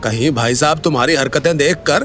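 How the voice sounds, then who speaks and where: Guy acting as snide businessman uncle in an audio drama, kinda high voice, always needling, music behind. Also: fairly quick, fairly bright tone, lightly acted. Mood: mocking. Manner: taunting, mocking, argumentative. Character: sarcastic, scornful uncle and board member, businessman.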